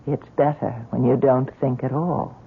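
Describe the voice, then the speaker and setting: An actress playing a vivacious, adoring young wife in an old radio drama, with gushing theatrical delivery. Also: female voice, rumbling low, creaky, breathy edge, exaggerated voice. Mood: coaxing. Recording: thin lo-fi old radio broadcast.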